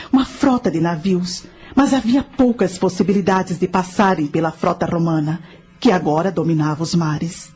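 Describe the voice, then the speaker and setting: An actress playing a knowing spirit guide, telling ancient history and teaching in a measured, solemn way in a radio drama. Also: female voice, on the low side, mellow, natural voice. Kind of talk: scripted radio drama narration.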